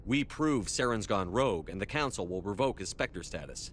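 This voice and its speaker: A man's voice: lowish voice, expressive, normal speed, fairly bright tone, slight background noise. A man playing a stoic, resolute soldier in command, with terse, restrained, serious delivery in a sci-fi video game. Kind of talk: cinematic sci-fi game dialogue.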